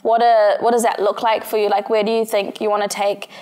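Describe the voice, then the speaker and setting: A woman's voice: medium pitch, flat delivery, brisk, a little mellow, clean tone. A woman hosting an interview, upbeat and effusive, asking long rambling questions. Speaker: interview host.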